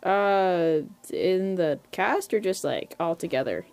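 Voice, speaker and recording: A woman's voice: fairly low pitch, lively intonation, takes it slowly, vocal fry, darkish tone, smooth. A woman giving a casual interview as a voice actor, hesitant and piecing their thoughts together while talking. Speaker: voice actor being interviewed about their role.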